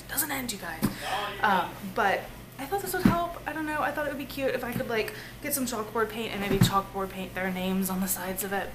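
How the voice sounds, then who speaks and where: A young woman, chatting casually to a handheld camera at home at night, loud and laughing often. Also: medium pitch, very noisy. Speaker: family vlogger. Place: home kitchen and living room at night. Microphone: handheld camera at arm's length.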